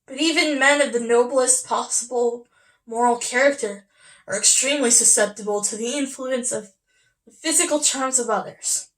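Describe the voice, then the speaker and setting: A woman's voice: a little high, normal speed, fairly bright tone, lightly acted, low-quality recording. A woman performing a comic stage monologue as a haughty young society lady, catty, with affected, emphatic politeness. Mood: lofty. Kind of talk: stage monologue from a comedy of manners.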